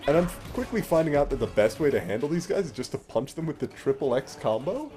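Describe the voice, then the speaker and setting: A man's voice: fairly high pitch, very animated, quick pace, warm tone. A man giving casual, explanatory commentary as he plays a game, with a wry way of talking. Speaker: let's play host playing the game. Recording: game music behind.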